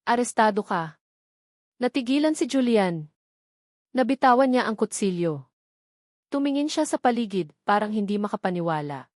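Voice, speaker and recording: A woman's voice: on the high side, expressive, normal speed, pauses often, a little mellow, no echo, clean studio sound. A woman telling a first-person dramatic love story in an earnest storyteller's voice.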